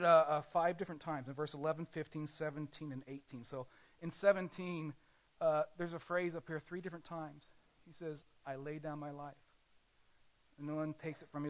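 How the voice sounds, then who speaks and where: A male pastor preaching a sermon in a conversational way, often pausing and correcting himself. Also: on the high side, lo-fi sound.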